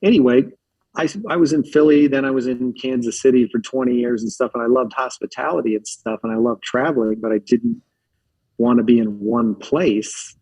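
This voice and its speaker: Guest from Philadelphia. Man with a husky, dry voice who rambles through casual stories. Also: mid-range voice, a little fast, mellow, dry recording, rough recording. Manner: rambling, filler-heavy, uses lots of likes. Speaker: podcast guest. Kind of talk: casual storytelling chat.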